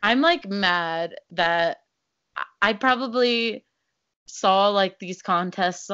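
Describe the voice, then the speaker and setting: A young woman co-hosting a casual podcast chat, rambling and self-deprecating and laughing often. Her voice sits at an ordinary pitch. The recording is dry and slightly dull. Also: takes it slowly, pushed and bright, clear, resonant tone, no room sound. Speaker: podcast co-host.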